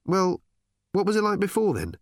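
A man's voice: sits high, huge pitch swings, moderate pace, dry recording, studio quality, pitched above the performer's own voice. A man voicing an impatient, demanding male character who presses for answers in terse questions.

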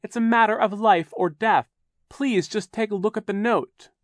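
Guy doing a desperate young orphan girl from a storybook, pitched way up, pleading. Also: lively intonation, normal speed, dry recording, clean studio sound. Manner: urgent, insistent pleading.

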